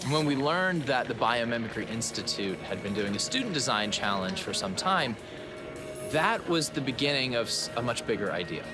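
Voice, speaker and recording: A man's voice: mid-range voice, very animated, moderate pace. A man in his thirties, a foundation director, speaking earnestly and steadily in an interview inside an event tent. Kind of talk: documentary interview. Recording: interview under loud music. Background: loud music.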